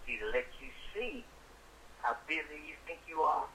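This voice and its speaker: Streetwise American dad on a noisy phone. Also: male voice, a little high, big pitch swings, normal speed, pushed and bright. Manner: streetwise, rambling, repeats himself, slangy. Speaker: father on a phone call. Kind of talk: casual phone conversation. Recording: noisy phone call over speaker.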